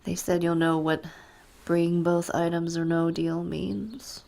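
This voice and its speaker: A woman playing a weary, withdrawn go-between in an audio drama, listless and deliberate, often slipping toward a breathy whisper. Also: lowish voice, level tone, mellow. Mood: weary, secretive. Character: weary, withdrawn woman arranging a covert deal. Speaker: voice actor.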